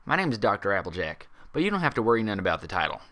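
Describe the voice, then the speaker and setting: A man voicing a kindly female cowgirl pony doctor with a gentle American Southern drawl, in a storybook reading. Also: lowish voice, sing-song, rapid-fire, heavily acted. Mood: cautious, reassuring. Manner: gentle, reassuring, folksy drawl. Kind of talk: storybook character voice in fan fiction reading.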